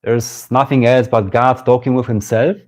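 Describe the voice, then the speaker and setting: A man with a German accent giving a live-streamed spiritual talk, musing slowly, repeating phrases and greeting viewers as they join. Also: medium pitch, steady tone, a little mellow. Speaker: spiritual teacher.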